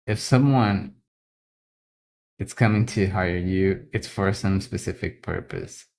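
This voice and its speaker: A Brazilian man speaks English with a Brazilian accent in a very low, deep voice. He talks slowly and casually while drawing, often stopping to repeat a word before he goes on. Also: gravelly, darkish tone. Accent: Brazilian Portuguese. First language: Brazilian Portuguese. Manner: halting, repeats words while thinking. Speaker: illustrator.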